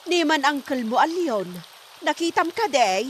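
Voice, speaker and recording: A woman's voice: high voice, lively intonation, measured pace. A woman playing a suspicious, scolding middle-aged wife in an Ilocano comedy drama, with an Ilocano accent. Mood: insistent.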